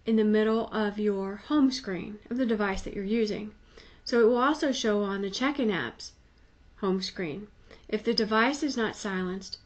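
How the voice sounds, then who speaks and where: A woman with a medium-pitched voice presents a software tutorial. She explains each step in plain, conversational language. Her manner is instructive and informal, like a trainer walking a user through an app. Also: muffled recording.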